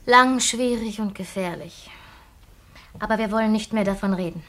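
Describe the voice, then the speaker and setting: An actress in a German radio play playing an anguished, guilt-ridden newlywed widow, speaking haltingly and repeating words when agitated. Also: female voice, mid-range voice, fairly bright tone, natural voice. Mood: uneasy, hesitant.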